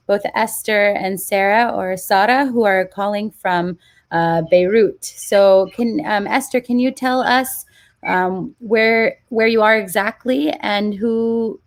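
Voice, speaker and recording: A woman's voice: mid-range voice, measured pace. A woman co-hosting a podcast panel, introducing guests with polite, brief prompts. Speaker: podcast co-host, moderator. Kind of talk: moderating a panel discussion.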